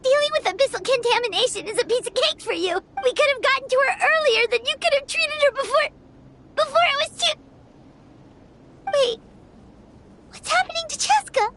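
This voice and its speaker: An actress voicing a tiny, childlike companion creature in a video game, squeaky, fretful and excitable. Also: female voice, normal speed, long pauses, very noisy. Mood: fretful, urgent. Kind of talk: cartoonish game voice acting.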